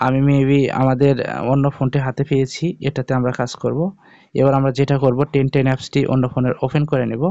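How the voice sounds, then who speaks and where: A man walks viewers through steps in an informal tutorial style, often checking that they follow. His voice is mid-pitched and relaxed with a soft, dark tone. The recording is dry and somewhat dull, lacking crispness. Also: no room sound. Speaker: tutorial video host. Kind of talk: informal step-by-step phone app tutorial.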